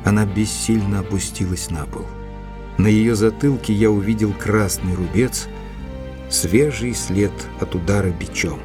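An actor playing a gentlemanly detective's companion who recounts the case in a measured, literary audio-drama narration. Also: male voice, on the low side, fairly even intonation, natural voice. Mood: tense storytelling. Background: music.

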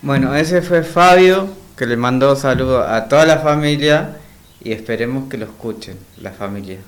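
A man with a mid-pitched voice presents a radio programme. His announcing is casual and informal. Music sits behind the voice. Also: normal speed.

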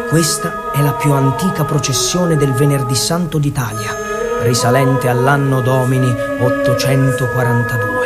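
A man narrating a scripted theatre piece in a solemn, measured, declamatory way. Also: medium pitch. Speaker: actor and narrator.

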